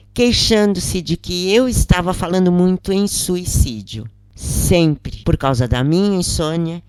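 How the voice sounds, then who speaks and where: A woman voicing a rich dead woman's repentant spirit, confessing frankly and deliberately in an audiobook. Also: on the low side, darkish tone, no room sound, pitched above the performer's own voice.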